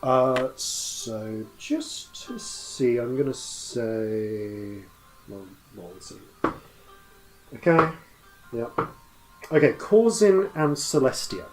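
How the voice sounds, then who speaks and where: A man narrating a tabletop game as game master, improvising in a halting way as he thinks aloud. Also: medium pitch, animated, soft background music, poor audio.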